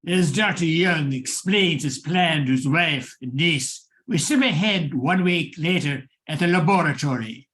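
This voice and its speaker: A man's voice: a little high, measured pace, few pauses, fairly bright tone. A man reading scripted narration for a radio drama, setting the scene.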